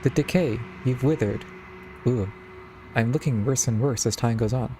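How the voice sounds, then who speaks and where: A woman's voice: very deep voice, normal speed, background noise. A woman streaming a game, casually commenting and reading dialogue choices aloud. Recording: game music behind voice.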